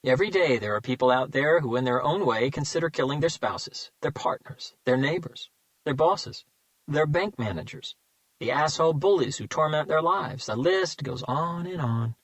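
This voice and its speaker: A man's voice: on the high side, animated, a bit bright, no echo, voice pushed up, poor audio. A man voicing a smug male serial killer who mocks and savors his words, in an audiobook reading. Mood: smug, taunting. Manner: mocking, slow and savoring.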